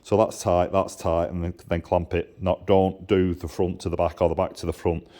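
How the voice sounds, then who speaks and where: A man's voice: sits low, flat delivery, normal speed, dark tone. This is a man. He talks informally, as a mechanic showing practical work on a handheld camera would. He explains each step plainly and often repeats himself. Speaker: motorcycle exhaust maker and mechanic. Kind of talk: casual hands-on workshop demonstration. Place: motorcycle workshop. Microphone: handheld camera held by the speaker.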